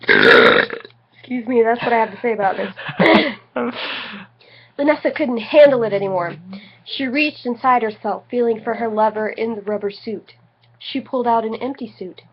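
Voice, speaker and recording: A woman's voice: a little high, very animated, normal speed, pauses often, breathy edge. A tipsy woman giving a theatrical, mock-dramatic reading of comic fan fiction, prone to giggling. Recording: slightly dull lo-fi home recording.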